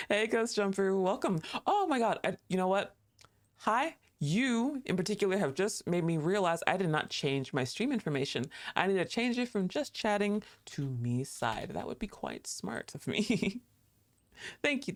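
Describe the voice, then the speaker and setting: A streamer chatting casually and thinking aloud while playing a game, laughing often and talking back at the screen. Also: medium pitch. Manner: thinks aloud with fillers and restarts.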